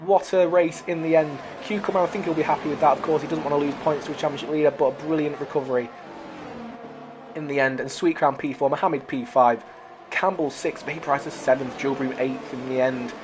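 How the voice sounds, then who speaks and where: Hoarse, excitable race commentator. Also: mid-range voice, a little fast, noisy background. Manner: excitable, builds up during close battles; talks to viewers as "guys". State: hoarse, losing voice. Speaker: sim racing commentator.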